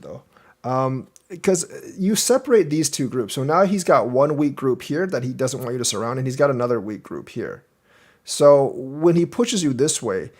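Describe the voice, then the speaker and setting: A man's voice: mid-range voice, a little mellow. Easygoing man talking through a board game. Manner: thinks aloud, explaining moves step by step. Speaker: Go teacher reviewing a game. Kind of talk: casual game review commentary.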